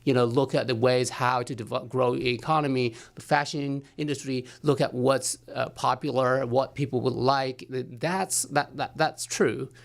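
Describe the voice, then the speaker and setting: Male academic guest from China, halting and hesitant delivery, creaky gravel in the voice. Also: medium pitch, steady tone. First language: Chinese. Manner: hesitant, many restarts and fillers. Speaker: anthropology professor guest. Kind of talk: studio interview.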